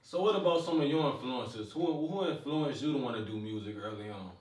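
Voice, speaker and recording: A man's voice: mid-range voice, lively intonation, fairly quick. A man asking laid-back, brief interview questions from a distance. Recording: roomy, from off-mic.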